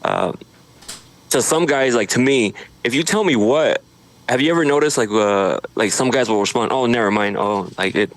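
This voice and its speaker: A man from Idaho, a social media creator, talking in a relaxed way with halting restarts from his studio. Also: mid-range voice, no room sound. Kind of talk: casual interview chat.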